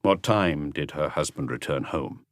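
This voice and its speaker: A man voicing a male chief inspector in an audiobook, terse and deliberate as he puts probing questions. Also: a bass voice, dry recording, studio quality, voice pushed down. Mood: measured, probing. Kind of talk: audiobook character dialogue.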